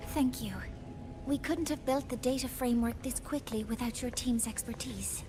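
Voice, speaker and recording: A woman's voice: fairly high pitch, normal speed, noisy background, lightly acted. A young woman playing an earnest professor in scripted game dialogue, speaking formally and precisely, devoted to her mentor. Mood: composed, explanatory. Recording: music behind the voice.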